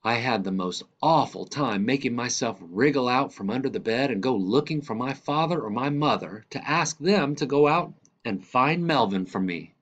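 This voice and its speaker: Storybook grandfather, played by a male reader: candid, wry, fond, emphatic delivery lifted in pitch, slightly lo-fi sound. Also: lively intonation, normal speed, few pauses, natural voice. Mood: dramatic, anxious.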